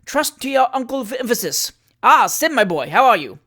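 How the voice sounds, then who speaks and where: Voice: medium pitch, sing-song, normal speed, sharp tone, husky, voice pushed up, heavily acted. A reader voicing a jovial, rich old uncle in a storybook, declaiming and correcting with great emphasis. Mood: hearty, emphatic.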